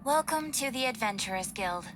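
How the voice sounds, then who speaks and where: A woman's voice: on the high side, animated, normal speed, background noise, lightly acted. A woman playing a polite, businesslike guild receptionist in a fantasy video game, courteous and orderly. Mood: polite, helpful.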